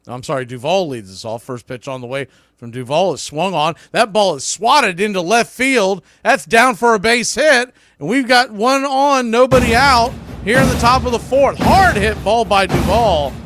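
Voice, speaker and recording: A man with a fairly high voice works as a baseball announcer in a dry, close sound. He gives live radio commentary in short, clipped phrases, ready to lift his energy with the play. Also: moderate pace, no echo. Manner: clipped play-by-play phrasing, bursts of excitement on hit balls.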